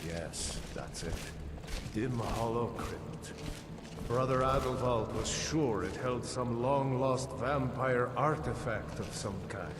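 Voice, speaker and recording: An actor voicing a proud, grieving vampire hunter of a fallen order in a fantasy game, speaking earnestly and solemnly. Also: male voice, mid-range voice, takes it slowly, noisy background, lightly acted. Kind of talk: fantasy video game dialogue. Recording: long hall reverb. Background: game music.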